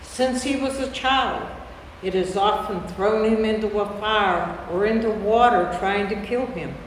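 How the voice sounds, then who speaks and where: A man's voice: sits high, measured pace. A man playing a desperate father pleading for his tormented son in an amateur staged Bible reading. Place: large church interior. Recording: distant, echoey church hall, faint noise.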